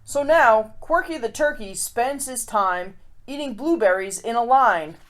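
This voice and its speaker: A woman with a fairly high voice hosts a story time for children. She is expressive in her storytelling and chatty delivery, addressing her young listeners directly. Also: unhurried. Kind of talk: read-aloud picture book storytelling and chatty review for kids.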